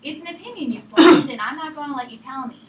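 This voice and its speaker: A woman's voice: high voice, fast talker, natural voice. A woman acting a stubborn, evasive, sick woman patient in a drama table read, argumentative and rambling. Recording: echoey room, distant mic, faint noise.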